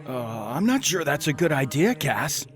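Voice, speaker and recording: A man's voice: a little high, big pitch swings, moderate pace, faint music behind. A man playing a shy, awkward but eager teenage boy in a radio play, speaking hesitantly. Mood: uneasy, reluctant.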